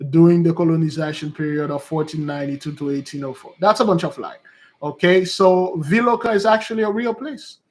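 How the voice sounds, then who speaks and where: Rambling preacher with a higher voice. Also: male voice, a little mellow. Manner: rambling and repetitive, addresses listeners as beloved. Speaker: preacher and teacher. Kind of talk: informal teaching monologue.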